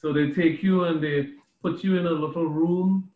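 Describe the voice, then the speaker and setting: A man's voice: fairly high pitch, steady tone, normal speed. A man leading a casual prayer group chat, rambling and laughing often, heard over a phone line. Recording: tinny phone line, roomy echo.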